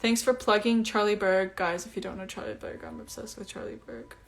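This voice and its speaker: A woman's voice: mid-range voice, fairly quick. A young woman, a singer chatting casually at home during a phone livestream, answering comments in a breezy way with lots of fillers. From Iceland. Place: at home, indoors.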